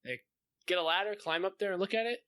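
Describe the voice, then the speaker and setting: A man co-hosting a podcast, telling stories in a casual, joking, sweary and animated way. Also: high-pitched, fast, dry recording. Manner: animated, profane. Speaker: podcast co-host. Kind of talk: casual joking chat, storytelling.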